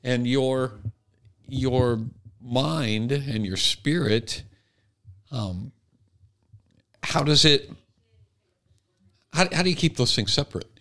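A man co-hosting a casual, reflective discussion, feeling his way through ideas with halting, repeated phrases. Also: medium pitch, pushed and bright. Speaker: podcast co-host.

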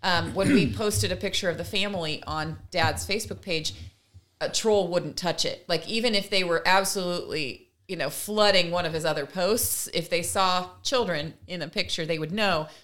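A woman's voice: fairly low pitch, moderate pace, fairly bright tone. A woman co-hosting a talk podcast, explaining her points conversationally and voicing imagined speech as examples. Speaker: podcast co-host. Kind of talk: conversational commentary.

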